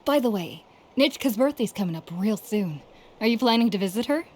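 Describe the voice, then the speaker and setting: A woman's voice: on the high side, expressive, a little fast, a little mellow, a little hiss or hum, lightly acted. A woman playing an amiable young townswoman in a video game, talking in a conversational, explanatory way. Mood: friendly. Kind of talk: video game character acting. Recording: game music behind. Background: game music.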